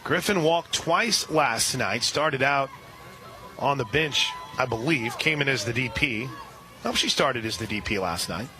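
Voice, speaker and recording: A man doing live radio play-by-play for a softball game, chatting between pitches and shouting on big plays. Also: expressive, measured pace, faint music behind, low-quality recording. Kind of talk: live radio sports commentary.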